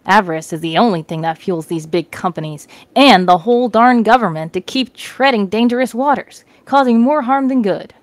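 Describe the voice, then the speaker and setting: A woman's voice: mid-range voice, moderate pace, few pauses, no room sound, close to an everyday voice. Woman playing a stern veteran lieutenant. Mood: grave. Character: stern, dutiful veteran male military lieutenant.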